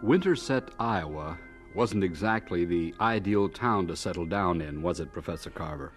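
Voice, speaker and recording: A man acting as the measured narrator and announcer of a scripted radio drama, asking questions to lead the story along. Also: sits low, expressive, music in the background.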